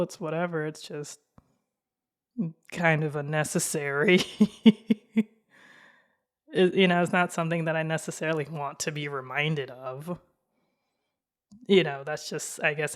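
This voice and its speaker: A young woman hosting a solo podcast, talking slowly in a flat, monotone voice, laid-back and candid with fillers. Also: low voice. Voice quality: monotone, slow-spoken. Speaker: podcast host. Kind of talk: casual solo podcast monologue.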